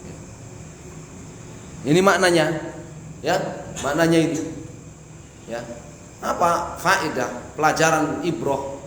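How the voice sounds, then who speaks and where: A man's voice: fairly high pitch, slow, pauses often, a bit bright. A man giving a mosque study lecture, reading Arabic phrases and explaining each in Indonesian, asking the audience questions and answering them himself. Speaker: religious teacher. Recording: echoey hall with faint hiss.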